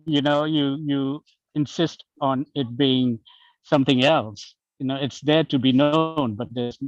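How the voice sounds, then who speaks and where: A man's voice: medium pitch, fairly even intonation, measured pace, dry recording. This man's voice comes through dull and dry, like lo-fi call audio. He makes his point emphatically, restarting as he goes, in a casual discussion. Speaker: discussion participant.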